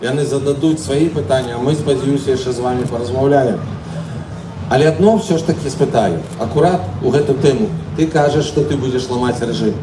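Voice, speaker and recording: A man's voice: mid-range voice, a little fast. A man moderating questions at a rally, announcing speakers and leading chants. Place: outdoor rally. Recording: echoey, from far off, crowd noise.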